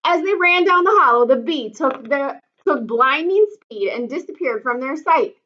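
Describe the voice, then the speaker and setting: Bright-voiced woman reading a storybook. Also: sits high, animated, normal speed, highs cut off. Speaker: teacher reading aloud. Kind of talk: expressive children's storybook narration.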